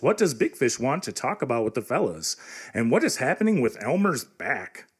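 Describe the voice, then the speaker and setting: Husky, animated radio-serial announcer. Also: male voice, fairly high pitch. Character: teasing, theatrical old-time radio serial announcer. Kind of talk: 1940s radio announcing parody.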